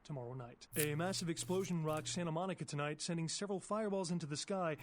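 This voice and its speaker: An actor playing a brisk, serious male news anchor, reading a scripted bulletin in a video game. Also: fairly high pitch, a crowd in the background, natural voice. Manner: crisp broadcast newsreading.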